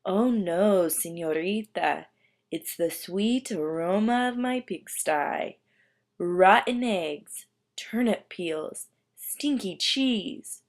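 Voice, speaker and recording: A woman's voice: mid-range voice, slow pace. A woman voicing a smug, smelly male pig suitor in a storybook, relishing each word. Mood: proud, relishing.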